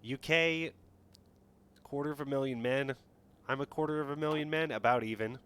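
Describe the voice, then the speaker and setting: A man's voice: mid-range voice, moderate pace, fairly bright tone, smooth. A man streaming a strategy game live, talking casually and thinking aloud as he plays. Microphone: headset.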